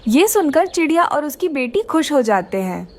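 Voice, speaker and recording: A woman's voice: high voice, very animated, moderate pace. A woman giving scripted narration for a children's cartoon story.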